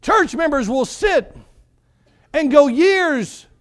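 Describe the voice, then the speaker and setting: A man in his forties preaching a fervent sermon as pastor, building on repeated phrases and swinging between quiet and loud passages. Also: very high voice, animated, takes it slowly. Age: 40s.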